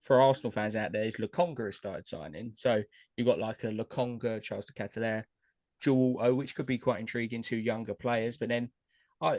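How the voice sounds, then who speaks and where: A man's voice: on the low side, no echo. Chatty man, dry close sound. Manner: chatty, lists names, lots of fillers. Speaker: podcast co-host. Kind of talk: casual chat about trading cards.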